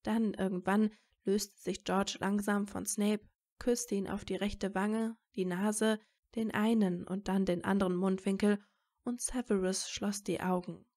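Woman narrating a story, medium pitch. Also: little pitch movement, unhurried, darkish tone.

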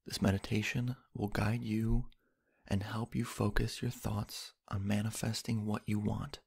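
Male sleep-hypnosis narrator: lowish, husky with some fry, soft, unhurried delivery with long pauses. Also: level tone. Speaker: guided meditation narrator. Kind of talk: sleep hypnosis guided meditation, slow scripted narration.

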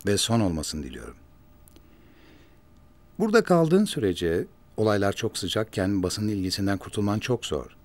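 A calm, wealthy older brother. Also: male voice, lowish voice, normal speed, dry recording. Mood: measured. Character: composed wealthy businessman, protective older brother. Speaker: older brother in a rich family. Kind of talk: television drama acting. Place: office set.

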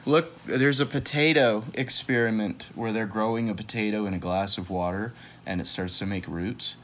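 A man's voice: on the low side, steady tone, normal speed, fairly bright tone, slight background noise. An American man reading a picture book aloud to kids in a casual, playful way with joking asides.

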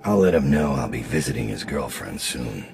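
An actor playing a middle-aged, world-weary assassin leader in a video game, grim, measured and dryly threatening, with a gravelly, very deep voice. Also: male voice, very animated, darkish tone, in a large hall, a little hiss or hum, heavily acted. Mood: dry, commanding. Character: gravelly, world-weary, menacing master assassin leading a gang. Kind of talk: video game character acting.

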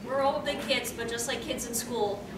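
Young woman, high voice, distant and echoey. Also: moderate pace. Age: young adult. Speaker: panel host. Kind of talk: casual unscripted panel talk with audience. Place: convention meeting room, on a stage. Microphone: distant camera microphone. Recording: distant, echoey, noisy camera recording.

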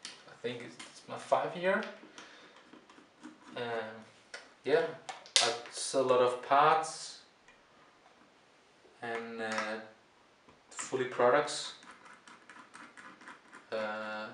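A Danish man with a Danish accent gives casual, halting tutorial commentary as he works with his hands at an indoor bench. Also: medium pitch. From Denmark, Copenhagen. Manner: halting, mumbled asides while working with hands. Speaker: repair tutorial presenter, parts seller. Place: indoor workbench. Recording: distant roomy mic, loud clicking noise.

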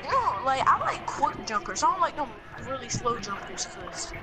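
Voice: high voice, sing-song, normal speed. A gamer chatting casually and informally with a friend during a game, using plenty of slang.

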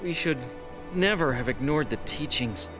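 A defeated male cavalry soldier, acted by a man in a fantasy game. His rueful voice is higher-pitched and very varied, over clear music. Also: animated, normal speed, natural voice. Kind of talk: video game voice acting.

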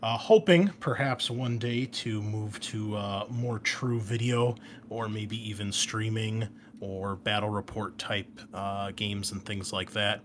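A man's voice: on the low side, steady tone, slow pace, noisy background. A man speaking as a podcast host and game master, giving a casual introduction to his channel. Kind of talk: casual scripted-outline introduction to a gaming channel.

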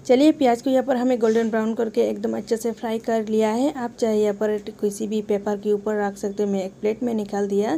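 Woman recipe host, mellow and gravelly, steady instructive voice-over with faint noise behind. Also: medium pitch. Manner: instructive, talks the viewer through each step. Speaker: cooking channel host.

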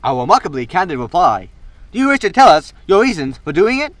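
Man acting high, bright, pushy news reporter. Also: big pitch swings, brisk, dry recording. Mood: eager, shocked. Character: brash, smug, pushy male news reporter. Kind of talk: science fiction comedy audio drama.

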